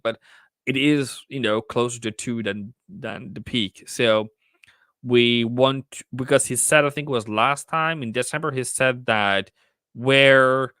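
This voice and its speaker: A man's voice: mid-range voice, bright, forceful tone, clear, resonant tone, dry recording. Norwegian man musing over markets live. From Norway. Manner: thinking aloud, many restarts and fillers, reads chat. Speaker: trading livestream host. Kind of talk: casual livestream commentary.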